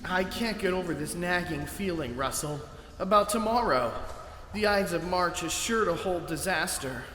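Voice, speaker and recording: A man's voice: high voice, lively intonation, normal speed, a bit bright. An actor playing an anxious, literal-minded ordinary man in a comedy sketch. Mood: nervous. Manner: worried, literal-minded, incredulous. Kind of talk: comedy audio sketch acting. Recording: echoey cave reverb with faint noise.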